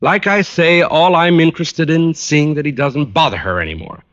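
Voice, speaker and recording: An actor playing a wealthy, determined gentleman client in an old-time radio drama, speaking in a polite, measured, proper way. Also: a little high, close to an everyday voice. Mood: grave, resolute. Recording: muffled vintage radio recording.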